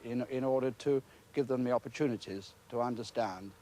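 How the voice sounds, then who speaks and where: A British man, a school principal, giving a formal, measured and earnest explanation in an interview. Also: mid-range voice, warm tone, a little hiss or hum.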